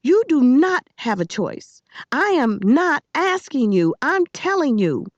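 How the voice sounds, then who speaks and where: Bossy young storybook girl, played by a woman narrator: high, animated, snappy, exasperated scolding. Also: slow, dry recording, lo-fi sound. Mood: furious, commanding.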